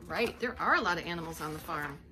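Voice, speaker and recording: A woman's voice: sits low, animated, fast, sharp tone, slight background noise. A middle-aged woman reading a picture book aloud as a preschool teacher, expressively, with little asides to young children. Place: indoors, home living room.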